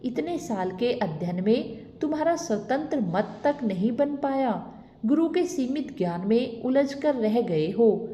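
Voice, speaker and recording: A woman's voice: on the high side, lively intonation, a little slow, warm tone. A woman voicing a mysterious woman in a vision who reproaches and insists. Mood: reproachful. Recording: echoey reverberant room.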